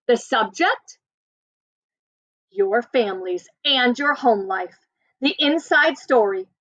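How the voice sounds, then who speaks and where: Woman doing a mean strict teacher voice, barking orders, high and swoopy. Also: animated, slow, fairly bright tone, no room sound, exaggerated voice. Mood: stern. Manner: snarling, barking commands. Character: stern, intimidating woman teacher.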